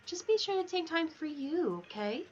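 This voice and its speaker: Woman putting on a high, theatrical cartoon animal villager. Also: sing-song, moderate pace, lo-fi sound, slight background noise. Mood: sweetly affectionate. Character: theatrical, affectionate, self-admiring animal villager from cozy game. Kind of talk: reading game dialogue in character.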